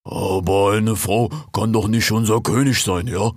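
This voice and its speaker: A man's voice: fairly low pitch, steady tone, unhurried, darkish tone, no echo, studio quality. A man voicing a big, doubtful male animal in a storybook, grumbling and muttering. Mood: indignant, sheepish. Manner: mumbling, muttered.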